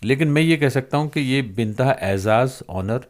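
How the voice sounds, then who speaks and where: A man's voice: medium pitch, animated, moderate pace. An older man interviews conversationally, putting in admiring remarks as he goes.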